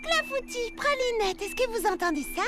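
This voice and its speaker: A woman's voice: extremely high pitch, animated, normal speed. A woman voicing a kind, responsible young girl heroine in a children's cartoon, with an earnest, encouraging way of talking. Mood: concerned. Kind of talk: French children's cartoon dub. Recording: loud music behind the voice.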